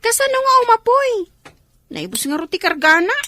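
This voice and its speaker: A young actress with an Ilocano accent playing a cheeky, pleading niece in a comedy drama. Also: female voice, very high voice, big pitch swings, fairly quick, bright, forceful tone, dry recording, heavily acted. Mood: protesting. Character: grown-up niece, cheeky and pleading, fond of her aunt.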